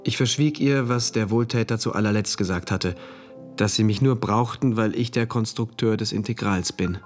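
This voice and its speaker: A male actor playing an introspective, detached diarist, narrating a radio drama in terse, measured phrases. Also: fairly low pitch, soft background music, natural voice. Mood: brooding.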